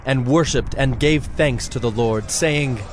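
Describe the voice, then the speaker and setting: A man reading scripted Bible narration in a measured, solemn, clearly articulated way. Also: mid-range voice, slight background noise. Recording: background music under the voice.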